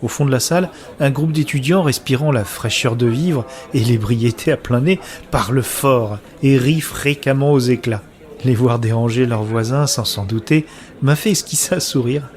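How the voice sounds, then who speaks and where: An actor playing a brooding, anguished man who narrates his own science-fiction story in measured, literary, introspective phrasing. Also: medium pitch, a little mellow, close to an everyday voice. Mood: wistful, contemplative. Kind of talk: scripted first-person narration of sci-fi audio drama.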